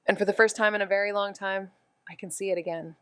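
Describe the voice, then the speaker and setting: A guarded, introspective woman investigator voiced by an actress in a neo-noir podcast drama. Her voice is clean and smooth at a middle pitch, recorded close and dry. She speaks naturally, with a wry edge. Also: steady tone, fast talker, a bit bright, dry recording, lightly acted. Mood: reflective, hopeful. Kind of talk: naturalistic audio drama dialogue and narration.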